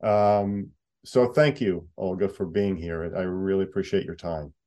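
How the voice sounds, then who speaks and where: Friendly male interviewer, halting delivery, video call. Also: sits low, fairly even intonation, mellow, muffled recording. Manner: friendly, halting, many restarts and "uh"s. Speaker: interviewer, associate editor. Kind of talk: casual interview conversation.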